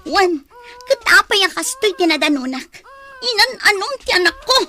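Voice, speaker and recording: A woman playing an anguished young mother in an audio drama, plaintive and highly emotional, with an Ilocano Filipino accent. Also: sing-song, normal speed, sharp tone, heavily acted. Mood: worried. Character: Leia, anguished young mother pleading with abusive partner. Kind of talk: amateur audio drama acting.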